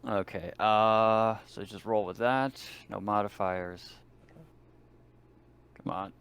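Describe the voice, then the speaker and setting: Low, slow-talking man playing a game. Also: steady tone, fairly bright tone, smooth. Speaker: tabletop game player. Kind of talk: casual game chat. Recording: faint noise, clicks.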